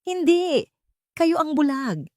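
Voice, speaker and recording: A woman voicing a hot-tempered, defiant young man in love in an audio story, forceful and emphatic. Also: high voice, sing-song, slow, dry recording, studio quality, pitched above the performer's own voice, full character voice. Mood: furious.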